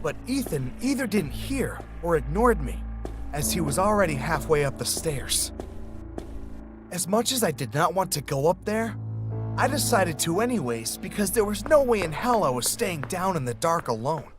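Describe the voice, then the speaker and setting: A man's voice: on the high side, big pitch swings, normal speed. A young man narrating a scripted first-person horror story, with music behind him.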